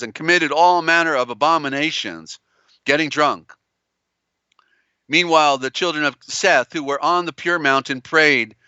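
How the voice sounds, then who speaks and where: A man hosting a live-stream lecture, with a strained, tiring voice and a halting, meandering delivery. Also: fairly high pitch, pushed and bright, clear, resonant tone, no room sound, highs cut off. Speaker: live show host.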